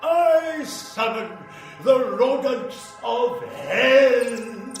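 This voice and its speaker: An actor playing a melodramatic, evil and lonely vampire lord in classic horror radio style, grandiose and theatrical. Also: male voice, extremely high pitch, expressive, over music, exaggerated voice. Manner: hammy, grandiose, slow theatrical declaiming. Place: small live venue with audience. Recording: roomy live stage recording, background noise.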